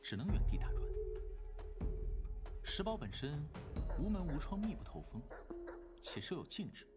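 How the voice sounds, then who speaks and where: A man playing a sharp, playfully needling young man in a Chinese animated drama, with a theatrical, probing delivery. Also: on the high side, moderate pace. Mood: grave explaining. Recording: loud music under the dialogue.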